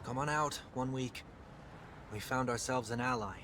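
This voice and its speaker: An actor playing a courteous, guarded young man in a video game, speaking formally and in measured phrases over music. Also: mid-range voice, background noise, close to an everyday voice. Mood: pleading, polite. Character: soft-spoken, courteous, guarded young male former captive bidding his home farewell.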